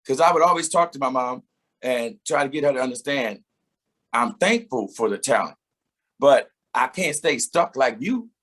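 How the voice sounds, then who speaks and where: A middle-aged man with a husky, slightly breathy voice speaks at an ordinary pitch. He tells his story reflectively in a relaxed interview, laughing here and there and repeating words as he gets going. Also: moderate pace. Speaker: singer and interview guest. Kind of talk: casual conversational interview.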